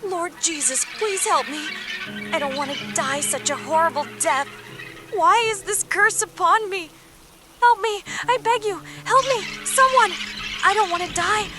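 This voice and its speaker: Casting: a panicked victim pleading for her life, piercingly high, over music, for horror radio. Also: a little slow. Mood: terrified, pleading. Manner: desperate, begging, repetitive. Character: terrified doomed woman pleading for her life. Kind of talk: horror radio drama voice message.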